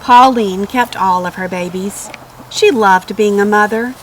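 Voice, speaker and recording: A woman's voice: mid-range voice, unhurried, a little hiss or hum, poor audio. A woman narrating a children's storybook expressively. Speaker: storybook reader.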